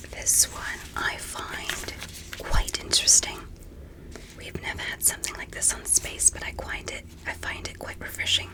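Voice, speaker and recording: A woman voicing a gentle, curious alien doctor in a sci-fi ASMR medical roleplay, whispering in a soothing, polite and encouraging way. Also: extremely high pitch, voice pushed up, full character voice, low-quality recording.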